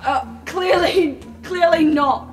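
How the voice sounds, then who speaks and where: A woman's voice: very high-pitched, slow pace, soft background music, voice pushed up. A woman playing an awkward, earnest, exasperated student with a hesitant, politely formal manner in a tabletop game.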